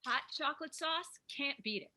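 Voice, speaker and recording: A woman's voice: sits high, moderate pace, bright, forceful tone. A woman playing a perky young diner waitress, folksy and easily flustered, in a screenplay table read. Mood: chirpy. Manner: folksy, chirpy.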